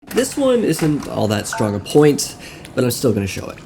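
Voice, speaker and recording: A man narrating a scripted video essay on game lore, with wry, deadpan asides. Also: medium pitch, normal speed, creaky. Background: music.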